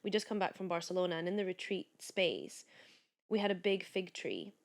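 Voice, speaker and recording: Woman in her late 30s from kuwait, podcast guest, chatty and animated, lots of likes and restarts. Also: lowish voice, fairly quick, vocal fry. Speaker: podcast guest, wellness coach. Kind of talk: casual chat.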